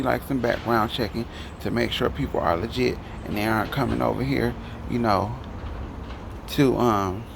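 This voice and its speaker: A man's voice: medium pitch, vocal fry, background noise. A man from Detroit with a Detroit accent, ranting his opinions in a rambling vlog. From Detroit, Michigan. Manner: heated, rambling, talks to the camera. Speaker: vlogger.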